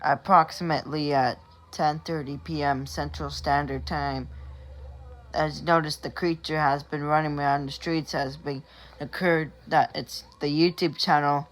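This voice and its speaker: A man gives an amateur emergency alert announcement, halting and repeating himself as he goes. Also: fairly high pitch, a bit bright.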